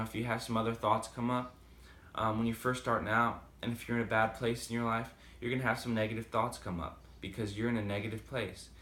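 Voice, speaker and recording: A man's voice: lowish voice, fairly bright tone, reverberant, slight background noise. A man with a slight Boston accent talking casually and rambling with many fillers in a motivational vlog. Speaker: vlogger. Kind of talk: casual motivational talk to camera.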